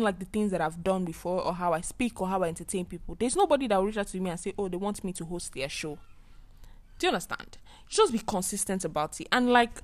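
Chatty woman hosting a solo podcast. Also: mid-range voice. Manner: chatty, repeats herself, asks "do you understand?". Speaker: podcast host. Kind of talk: casual solo monologue.

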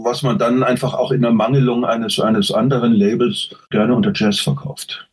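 A man's voice: medium pitch, steady tone, moderate pace, slightly rough, rough recording. A man chatting casually as a podcast guest, giving frank opinions and qualifying them as he goes. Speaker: interview guest, voice actor.

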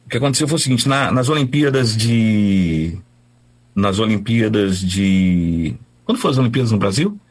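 A man's voice: on the low side, moderate pace, husky. A man with a Brazilian Portuguese accent, a voice-over announcer telling stories in a casual interview, chatty and full of restarts. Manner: animated, chatty, many restarts.

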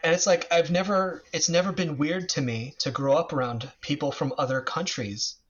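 A man's voice: on the high side, fairly even intonation, moderate pace. A man from the United States talking casually as a guest about politics, rambling with many restarts and fillers. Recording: slightly dull lo-fi audio.

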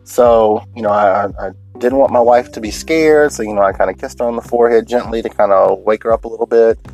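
A deep-voiced man talking alone in a late-night podcast from inside a parked car, sniffling a little as he gets over a cold. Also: a little fast, darkish tone, faint music behind, poor audio. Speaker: podcast host.

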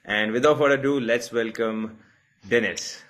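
Emphatic male interviewer, medium pitch. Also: steady tone, normal speed, fairly bright tone. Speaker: show host, interviewer. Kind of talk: casual interview hosting.

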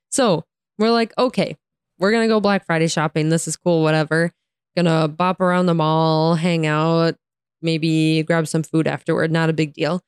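American woman, lowish hoarse voice, sarcastic casual storyteller, slangy, exasperated, dry sound. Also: darkish tone, no room sound. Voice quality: raspy, tired voice. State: hoarse from talking all day. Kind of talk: casual chat, animated storytelling.

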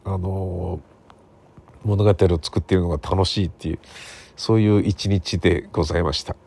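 Middle aged guy rambling on his solo podcast, raspy low voice, chatty, recorded on his phone. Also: fairly even intonation, normal speed. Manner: rambling, conversational, seeking agreement with soft sentence endings. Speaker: podcast host and radio drama writer. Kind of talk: casual solo podcast monologue. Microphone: smartphone recording.

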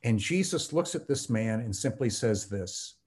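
A man's voice: medium pitch, lively intonation, a little slow, a little mellow. A man who is a theology professor and pastor, guest on a podcast, explaining ideas in an earnest teaching tone with frequent ums. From United States. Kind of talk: conversational podcast interview.

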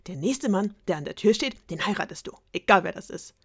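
A woman's voice: mid-range voice, lively intonation, brisk, a bit bright, husky, dry recording, clean studio sound. Woman playing a fed-up fairy-tale king. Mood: stern. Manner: firm, emphatic. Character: fed-up old king, firm father.

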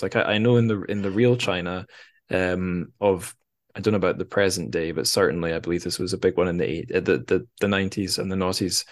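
A man with a distinctly low voice hosts a casual book discussion. He speaks informally and reflectively, often hesitating and rephrasing as he works toward his point. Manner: hesitant, reflective, searching for words. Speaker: podcast host.

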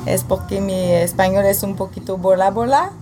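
A woman's voice: mid-range voice, moderate pace. A woman from Quebec with a Quebec French accent, a native French speaker chatting as a guest, giggling and searching for words. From Quebec, Canada. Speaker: guest, student group leader. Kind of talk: casual chat in a learned language. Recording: music playing in the background.

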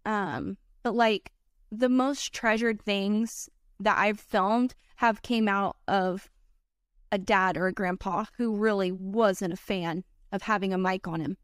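A woman's voice: medium pitch, fairly even intonation, slow, smooth, no room sound, studio quality. A woman wedding filmmaker, a podcast guest, talking in an earnest, chatty way full of "like" and "you know". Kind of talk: casual podcast chat.

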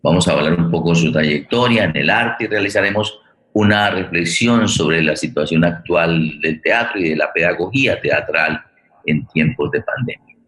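A man with a Colombian accent hosting a streamed program, speaking formally in halting, wordy, deliberate phrases. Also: low voice, fairly bright tone. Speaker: host of a streamed theatre program. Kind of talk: formal spoken introduction. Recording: roomy echo on the voice.

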